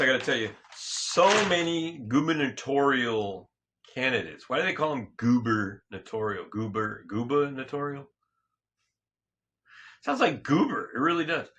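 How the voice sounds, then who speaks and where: A man hosting a casual sports talk show, slightly hoarse, rambling and joking with frequent restarts and self-corrections. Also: medium pitch, pushed and bright. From California. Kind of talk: casual solo sports and politics chat.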